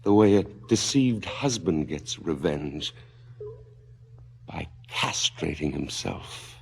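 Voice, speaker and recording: A man's voice: extremely low pitch, expressive, slow pace, vocal fry, slight background noise, heavily acted. An actor playing a middle-aged, self-loathing father and fallen scientist in a stylized radio drama, brooding and theatrical.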